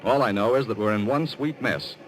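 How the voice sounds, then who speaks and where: An actor playing an earnest, exasperated young man in an urgent, expressive 1940s radio acting style. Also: mid-range voice, fairly quick, natural voice. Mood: puzzled, challenging. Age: young adult. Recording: noisy vintage radio recording.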